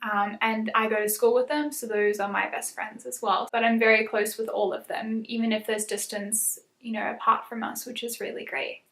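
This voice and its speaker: Young female vlogger, slightly high voice, chatty and giggly, roomy echo. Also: steady tone, normal speed, few pauses, a bit bright. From South Africa. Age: 20s. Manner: chatty, laughs often, many "um"s and "like"s. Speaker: YouTuber. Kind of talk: casual get-to-know-me chat. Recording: echoey room.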